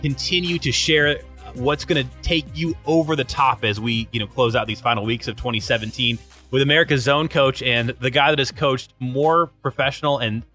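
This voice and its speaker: A man's voice: medium pitch, moderate pace, bright, forceful tone, faint music behind. A man co-hosting a coaching podcast as producer, talking with upbeat enthusiasm. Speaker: producer and co-host. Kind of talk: casual podcast talk.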